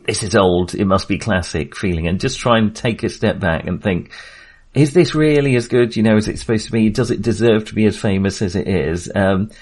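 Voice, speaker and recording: A man's voice: fairly low pitch, a little fast, darkish tone. A man talking casually as a film podcast host, in a hesitant, rambling but enthusiastic way.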